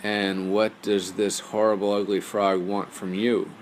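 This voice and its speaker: Man reading as a firm, low-voiced fatherly king. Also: little pitch movement, a little slow, slight background noise, lightly acted, rough recording. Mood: concerned questioning.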